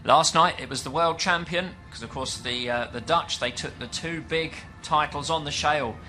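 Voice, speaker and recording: Bright-voiced British race commentator. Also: male voice, a little high, moderate pace, faint music behind. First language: English. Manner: chatty and explanatory, with frequent fillers. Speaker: stock car race commentator. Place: at a dirt track event.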